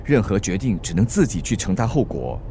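A practical Singaporean friend in a radio drama, reassuring and colloquial. His lowish voice moves around a lot in pitch. Faint vehicle noise sits behind him. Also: big pitch swings, fairly quick. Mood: consoling. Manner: colloquial, sprinkles English words into speech. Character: level-headed, reassuring male friend, practical peacemaker.

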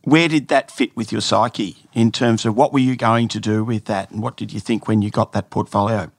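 A man's voice: lowish voice, steady tone, moderate pace, vocal fry, no room sound. A male interviewer asking conversational, leading questions of his guest.

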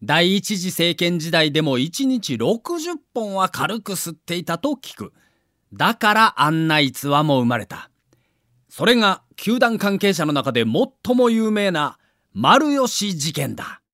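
A man's voice: high voice, sing-song, a little slow, a bit bright, no echo, clean studio sound. A man reading a scripted documentary narration with a theatrical storyteller's delivery.

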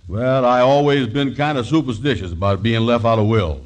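An actor playing a worried, slow-witted scheming man in a 1940s radio comedy, with a folksy drawl, ungrammatical talk and mangled big words. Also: mid-range voice, slight background noise, close to an everyday voice. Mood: explaining, sly. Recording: old 1940s radio recording.

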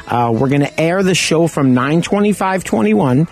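A man's voice: on the high side, animated, moderate pace. Chatty man hosting a radio show. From Arizona. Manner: chatty, loud, conversational. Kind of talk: casual radio talk. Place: home.

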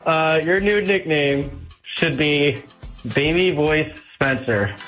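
A man calling in to a radio show, teasing the host and talking quickly. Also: fairly high pitch, lively intonation, fairly bright tone, muffled recording, soft background music. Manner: teasing, quick, laughs at own jokes. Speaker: caller. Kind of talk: call-in chat.

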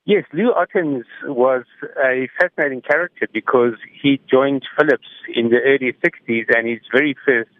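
A middle-aged man gives measured, explanatory answers in a reflective radio interview over the phone. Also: mid-range voice, no echo. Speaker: interviewed music technology commentator. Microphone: phone call. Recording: tinny, narrow phone line.

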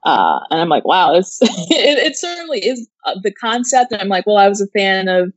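A woman's voice: mid-range voice, sing-song, moderate pace, no room sound. A woman gives casual, loosely phrased opinions as co-host of an entertainment news show. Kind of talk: casual chat giving reactions and opinions.

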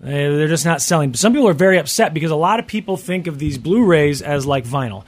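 A man's voice: fairly high pitch, animated, fast. A man hosting a talk radio show, chatting casually and upbeat with his co-hosts.

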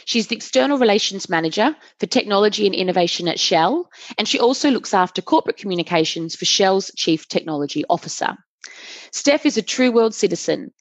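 A woman's voice: medium pitch, animated, fairly quick, no room sound. A woman hosting a podcast, reading a scripted intro and then interviewing her guest with effusive, enthusiastic praise. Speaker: podcast host.

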